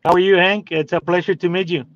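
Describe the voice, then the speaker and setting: A man's voice: sits high, little pitch movement, fast, fairly bright tone. Cuban-accented man, hesitant, in a car. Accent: Cuban Spanish. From Cuba. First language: Spanish. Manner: hesitant, many "uh" fillers and restarts. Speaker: guest. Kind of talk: casual interview. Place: inside a parked car. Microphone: phone video call. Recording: dry, slightly dull phone audio.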